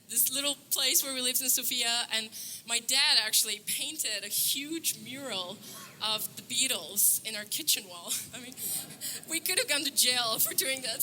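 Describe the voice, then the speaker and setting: A young woman from Bulgaria speaks on a conference stage, earnest and upbeat, often breaking into laughter. Her voice is very bright and sits a little high. She talks with plenty of fillers and restarts, and a faint hiss lies under the microphone sound. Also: poor audio. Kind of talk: stage talk. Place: conference stage before an audience.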